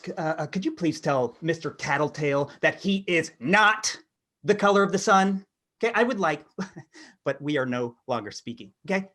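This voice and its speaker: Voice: mid-range voice, fairly bright tone. A performer voicing a petty, indignant orange crayon in a comic storybook reading, huffy and insistent.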